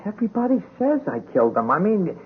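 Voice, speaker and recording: Hapless, stammering simpleton, wildly swooping pitch. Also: male voice, sing-song, fast. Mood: amiable, confused. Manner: hesitant, stammering, folksy. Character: hapless, harmless, simple-minded drunkard man, trusting. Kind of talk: 1970s radio drama acting. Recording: tinny, noisy old radio recording.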